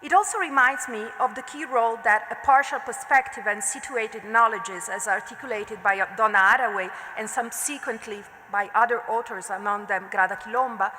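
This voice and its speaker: A woman's voice: fairly high pitch, pushed and bright. Italian-accented woman lecturing in a reverberant hall. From Italy. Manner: measured, deliberate delivery with occasional slips and fillers. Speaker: art history researcher giving a conference lecture. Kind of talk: reading a prepared academic lecture.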